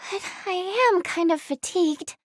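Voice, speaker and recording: A woman voicing a plucky, sweet young girl healer in a fantasy video game, with a perky, earnest and expressive delivery. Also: extremely high pitch, normal speed, no echo, clean studio sound. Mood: weary. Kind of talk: video game battle voice lines.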